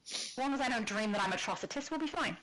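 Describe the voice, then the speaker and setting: A woman co-hosting a casual livestream and reading chat comments aloud, chatty with frequent giggles and a high soprano voice. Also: a bit bright, low-quality recording. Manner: giggly, chatty, laughs often. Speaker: stream co-host.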